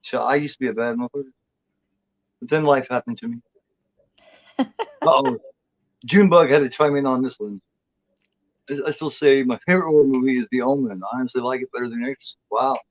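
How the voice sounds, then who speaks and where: A man's voice: mid-range voice, lively intonation, fast, long pauses, no echo, lo-fi sound. A man in his forties chatting as co-host, blunt and profane, rambling with lots of restarts. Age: 40s. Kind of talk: casual chat.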